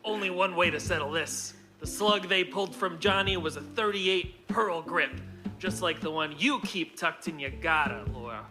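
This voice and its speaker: A man's voice: high voice, normal speed, over music, lightly acted. A man playing a hard-boiled 1940s private detective straight, in clipped radio noir style. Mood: stern, dry.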